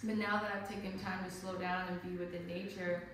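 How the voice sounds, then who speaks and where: A woman's voice: lowish voice, a little fast. Woman, thirty, outspoken panel speaker, very echoey room, distant from the mic, background noise. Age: 30s. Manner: candid, animated, laughs and swears freely. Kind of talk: casual panel talk. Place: art gallery, indoors.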